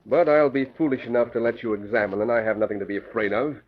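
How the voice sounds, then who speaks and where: An actor playing an indignant, blustering political boss, formal and emphatic, in 1940s radio style. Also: male voice, medium pitch, quick pace, natural voice. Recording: tinny, band-limited old radio recording.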